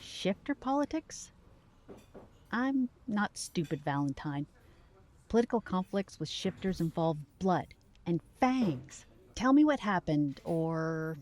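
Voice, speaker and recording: An actress playing a sharp, playful but concerned woman in an audio drama, with a deliberate, pointed delivery. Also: lowish voice, very animated. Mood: stern, pressing.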